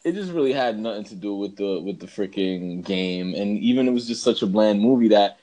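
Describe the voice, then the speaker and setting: A man's voice: fairly low pitch, expressive, fairly quick, warm tone. A man co-hosting a podcast in casual chat, talking in a slangy, emphatic, rambling way. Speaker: podcast co-host. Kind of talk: casual chat ranking bad video game movies.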